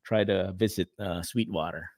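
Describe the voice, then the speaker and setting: A man's voice: deep voice, moderate pace, gravelly, a little mellow, no room sound, studio quality. A man with a Filipino accent, co-hosting a casual chat show, rambling with many fillers and the odd laugh. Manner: rambling, filler-heavy, laughs while talking. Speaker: podcast co-host.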